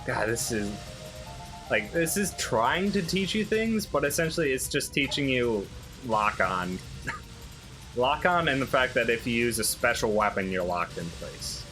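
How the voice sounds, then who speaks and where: A young man giving casual, halting video game commentary while he plays. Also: medium pitch, animated, bright, forceful tone. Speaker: let's play host playing the game. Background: faint game music.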